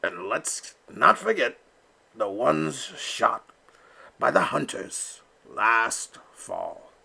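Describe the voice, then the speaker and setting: A man voicing a smug, bullying male duck who leads the flock, speaking low and sneering. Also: big pitch swings, very slow, gravelly, bright, forceful tone, slightly rough, exaggerated voice.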